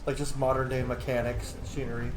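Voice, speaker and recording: A man's voice: medium pitch, level tone, fast. Man casually commentating a game, roomy echo. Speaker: gaming streamer. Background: faint game music.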